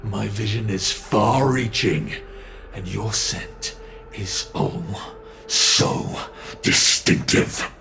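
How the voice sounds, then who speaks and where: A man playing a gravelly, unhurried monster. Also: lowish voice, sharp tone, soft background music, full character voice. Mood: smug. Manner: teasing, taunting. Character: smug predatory male monster hunting his prey. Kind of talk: ASMR horror roleplay.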